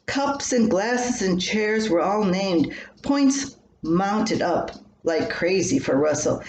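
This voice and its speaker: A woman's voice: mid-range voice, darkish tone. An older woman reading her own scripted personal story aloud. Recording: roomy echo, faint background noise.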